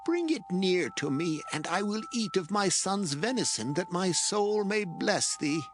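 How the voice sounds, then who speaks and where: A man's voice: sits high, lively intonation, measured pace, faint music behind. An actor playing an aged, solemn patriarch father in a dramatized scripture reading, speaking with emotion. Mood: searching, uncertain. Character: aged patriarch father, solemn, trembling, blessing his son.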